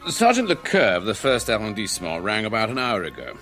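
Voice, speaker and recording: A man's voice: on the low side, wildly swooping pitch, fast talker, a bit bright, soft background music, exaggerated voice. An actor playing a loyal, efficient detective reporting to his chief in a radio drama, obliging and matter-of-fact. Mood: businesslike.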